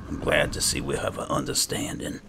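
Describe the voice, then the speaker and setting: A man's voice: fairly quick, background noise. A man giving casual gameplay commentary, muttering reactions to himself. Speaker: gamer and role-player. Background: game music.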